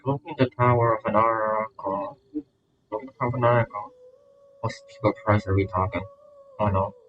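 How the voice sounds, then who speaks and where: A man doing casual live gameplay commentary, excitable and repeating short phrases as he reacts. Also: on the low side, moderate pace, lo-fi sound, background noise. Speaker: streamer reacting to a game. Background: game music.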